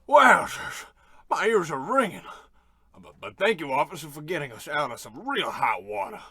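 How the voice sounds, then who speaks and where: An actor playing a tough, dim but loyal goon, melodramatic and prone to bewildered stammering, in an old-time radio comedy. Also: male voice, fairly high pitch, very animated, moderate pace, sharp tone, slightly rough, no echo, exaggerated voice. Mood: relieved.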